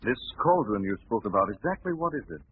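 An actor playing a calm, urbane young gentleman sleuth in 1940s radio style. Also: mid-range voice, fast talker, breathy edge. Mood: composed, probing. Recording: tinny old radio recording, hiss.

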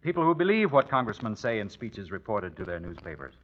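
An actor playing a president's eager, loyal grown son in an old-time radio drama, speaking in an earnest, animated way. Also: male voice, fairly low pitch, a little fast. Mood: concerned. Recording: muffled, noisy vintage radio recording.

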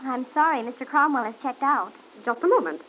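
An actress playing a brisk, formal switchboard operator in an old radio serial. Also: high voice, animated, fairly bright tone. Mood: businesslike. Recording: noisy vintage radio recording.

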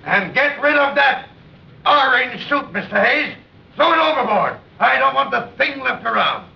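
A stern, fussy naval commanding officer in a vintage radio play. He is high-voiced and creaky, with a clipped, bossy way of giving orders. The sound is muffled and echoey. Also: very animated, normal speed, heavily acted. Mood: irritated, commanding. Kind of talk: 1960s radio drama acting.

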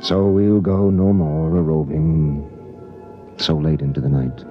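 An actor playing a grave, conscience-torn spaceship captain, brooding, in a 1950s radio drama. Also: male voice, extremely low pitch, steady tone, unhurried, music in the background. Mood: mournful. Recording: muffled old radio recording.

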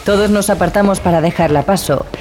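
A woman playing a wary ship passenger who recounts a horror tale in a measured, grave manner. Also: fairly low pitch, noisy background, close to an everyday voice. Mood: grave recounting. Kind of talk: dramatized first-person horror narration. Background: music.

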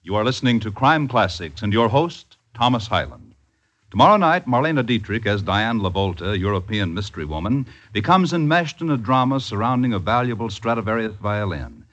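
A man's voice: lowish voice, animated, fairly quick, fairly bright tone. A man working as a radio announcer, reading promos in a polished, formal 1950s network style. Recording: dull old radio recording.

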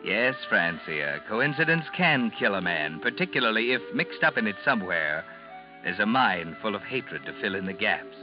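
An actor playing a sinister, knowing narrator in 1940s American radio suspense style, ominous and mocking, on a muffled old broadcast. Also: male voice, lowish voice, big pitch swings, normal speed. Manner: ominous, knowing, addresses the character directly. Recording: muffled vintage radio, music behind.

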